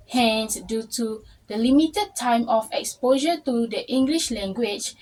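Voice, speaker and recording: A woman's voice: a little high, darkish tone, poor audio. A young woman, a university student steadily reading a prepared class presentation. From Malaysia. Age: young adult. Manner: reads steadily from a prepared script.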